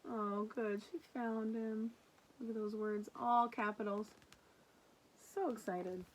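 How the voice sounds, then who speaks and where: A woman reading a children's picture book aloud in an expressive way, with casual asides. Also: fairly high pitch, low-quality recording. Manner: animated, unhurried narration. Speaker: storybook reader.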